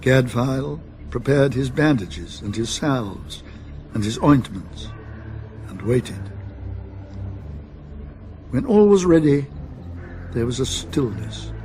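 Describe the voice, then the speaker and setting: A man's voice: medium pitch, sing-song, slow pace, pauses often, darkish tone, soft background music. A man acting as the solemn narrator of a medieval tale in a radio drama, with dramatic scripted delivery. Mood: tense, solemn.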